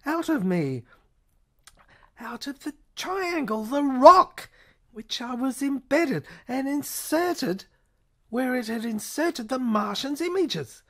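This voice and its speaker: Woman w/ highish voice doing a dramatic sci-fi audio play monologue, halting n intense, repeats herself, sometimes goes whispery. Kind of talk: dramatic first-person narration of a science fiction audio play.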